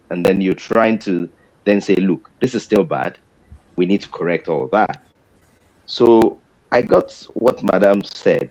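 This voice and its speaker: Middle-aged Nigerian male doctor, low voice, measured and pausing, panel guest. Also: darkish tone, poor audio. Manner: deliberate, with pauses and restarts.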